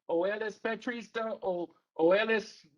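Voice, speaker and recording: A man's voice: sits high, fairly even intonation, takes it slowly, lo-fi sound. A man interviewing a guest, asking his questions hesitantly and restarting words often. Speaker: interviewer, executive editor.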